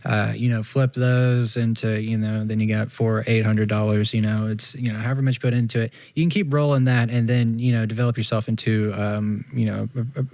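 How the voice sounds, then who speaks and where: A man on a panel giving casual business advice, halting and leaning on filler words. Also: lowish voice, level tone, a little mellow, no echo. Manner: halting, lots of "you know" fillers. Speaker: panel guest.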